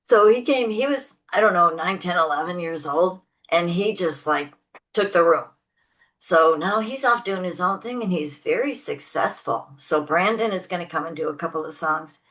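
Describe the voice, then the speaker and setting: A woman's voice: fairly low pitch, expressive, moderate pace. A woman singer and choir leader, a guest chatting casually and enthusiastically in an interview. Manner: chatty, upbeat, warmly enthusiastic about people.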